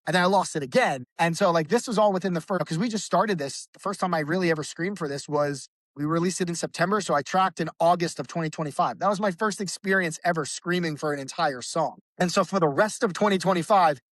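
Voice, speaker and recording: A man with a fairly high voice talks as a podcast guest in a loose, rambling way. He keeps restarting his sentences and fills gaps with "like". The sound is dry and close, with no room echo. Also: fast, hardly any pauses, a bit bright. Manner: rambling, with frequent restarts and fillers. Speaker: podcast guest, band vocalist. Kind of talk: casual conversation.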